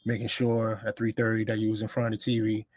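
A man born in Honduras and raised in the Bronx, a hip-hop journalist reminiscing in relaxed casual conversation. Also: lowish voice, fast, no room sound. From born in Honduras, raised in the Bronx, New York. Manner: relaxed, reminiscing, with many fillers.

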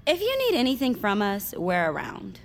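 Snippy teen girl, bossy, highly animated, casual, fiction podcast drama, ambient noise behind. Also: normal speed. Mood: puzzled, polite. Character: socially confident, snippy teenage girl.

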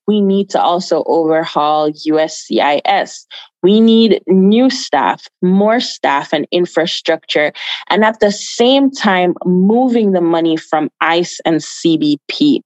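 A woman's voice: fairly low pitch, expressive, slow, a little mellow, no echo, dull audio. A woman from Jamaica giving earnest, emphatic answers as an activist guest in an interview, repeating phrases for stress.

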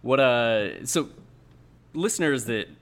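A man's voice: medium pitch, animated, takes it slowly, a bit bright. A young man co-hosting a casual podcast, wry and a little rambling. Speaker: podcast co-host.

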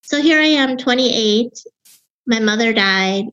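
A woman in her fifties with a Wisconsin accent and a young-sounding voice, telling her own story as an interview guest in a reflective way, with halting restarts. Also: fairly high pitch, fairly bright tone, dull audio. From Wisconsin, USA. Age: 50s. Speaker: author and grief guest.

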